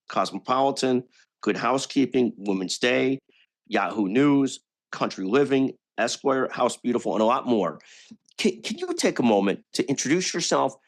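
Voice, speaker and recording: A man hosting a business podcast who introduces his guest with enthusiasm and often restarts his sentences with repeated words. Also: mid-range voice. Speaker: podcast host. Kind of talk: podcast interview.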